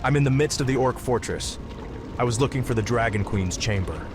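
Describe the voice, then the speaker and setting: Man as a defiant wizard, medium-pitched. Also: a little fast, close to an everyday voice. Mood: wary. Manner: blunt, questioning. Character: defiant, wary young human male wizard. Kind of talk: fantasy game cutscene acting. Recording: music behind voice. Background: music.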